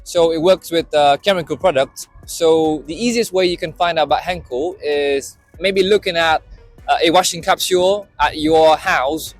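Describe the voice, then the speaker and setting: A man's voice: fairly high pitch, normal speed, no echo, faint music behind. A man in his twenties from Vietnam, a student intern, explaining his company in a friendly way. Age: 20s.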